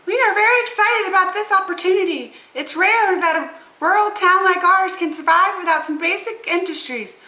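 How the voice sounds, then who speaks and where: A woman's voice: very high-pitched, moderate pace, few pauses, bright, forceful tone. A woman playing an earnest small-town official, reciting scripted lines in an amateur puppet show. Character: earnest female small-town official seeking economic revival. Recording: roomy, echoing room sound.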